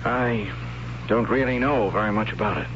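Low-voiced, gentle young captive played by a man, earnest and hesitant; dull vintage radio sound with music underneath. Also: normal speed, background noise, natural voice. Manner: soft-spoken, earnest, hesitant. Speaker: captive narrator of the story. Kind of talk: 1970s radio drama acting and narration.

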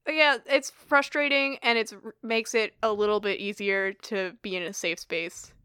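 A young woman talks casually on a podcast, rambling through stories with plenty of "like" and easy laughter. Her voice sits at a middle pitch for a woman. The sound is close and dry, with no room echo. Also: steady tone, normal speed, bright, forceful tone, clean tone. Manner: chatty, laughs a lot, lots of "like". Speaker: podcast co-host. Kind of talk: casual chat.

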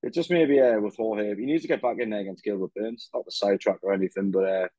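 A man's voice: lowish voice, quick pace, dark tone, low-quality recording. A young man chatting casually about fights over a video call, laid-back and peppering his talk with "yeah, man". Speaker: podcast host. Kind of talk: casual fight-recap chat.

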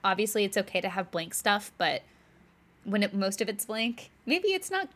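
A woman's voice: medium pitch, sing-song, moderate pace, fairly bright tone. A woman podcast co-host chatting casually in a rambling way, saying "like" often. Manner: chatty, rambling, laughs lightly, uses "like" a lot. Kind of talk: casual chat.

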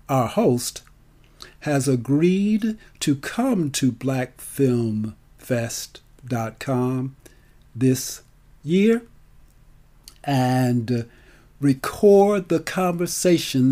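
A man speaks at a slow, deliberate pace in a relaxed, mellow voice of medium pitch. He sounds like someone promoting his work live and off the cuff, drawing out and repeating key words for emphasis. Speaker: storyteller and film festival organizer. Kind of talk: promotional talk, live and unscripted.

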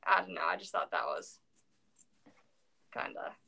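A young woman talks through poems in a loose, rambling way, full of "like" and restarts. Her voice has a gravelly creak, and she sounds worn out after a long day. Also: sits low, wildly swooping pitch, a little slow, bright, forceful tone. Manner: rambling, many fillers, self-corrections. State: tired. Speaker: co-host discussing poetry. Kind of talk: casual chat.

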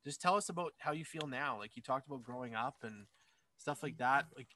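A man's voice: medium pitch, fairly quick, pushed and bright, a little hiss or hum. A man hosting a casual interview, rambling and joking at himself. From Scotland. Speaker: host.

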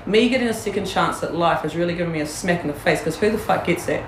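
A woman's voice: fairly low pitch, fast, reverberant, noisy background. A woman with a New Zealand accent, a guest in a candid interview, talking bluntly, swearing freely and laughing often.

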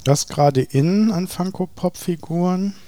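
Man, slightly high voice, podcast co-host, casual chat, teasing manner, dry room sound. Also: unhurried, warm tone, no room sound.

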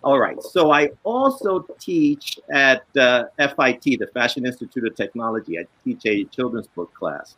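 A man on a book panel telling personal anecdotes in a relaxed way, acting out the voices of people he quotes. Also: mid-range voice, normal speed, hardly any pauses, no echo, poor audio. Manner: relaxed storyteller, acts out quoted dialogue. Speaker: author and illustrator on a book panel; teaches a children's book class.

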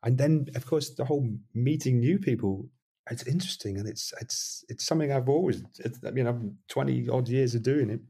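Middle-aged male musician, husky voice, loose rambling interview talk. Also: mid-range voice, fairly quick, warm tone. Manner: rambling, self-deprecating, frequent restarts. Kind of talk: casual interview chat.

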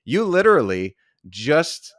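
A man hosting a podcast in casual chat, with a jokey, animated way of reacting. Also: on the high side, takes it slowly, dry recording, studio quality. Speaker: podcast host.

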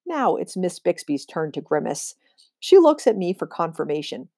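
A woman reading a children's novel aloud with expressive, emphatic storytelling. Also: fairly low pitch, normal speed, dry recording, studio quality. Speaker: read-aloud reader.

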